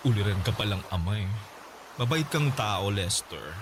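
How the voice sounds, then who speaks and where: Flirtatious rich man, frank, teasing drawl, very lively pitch, English sprinkled in, radio drama, noisy ambience behind. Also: slow. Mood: sympathetic, frank. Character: frank, flirtatious wealthy man advising his paid lover. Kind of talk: Tagalog radio drama acting.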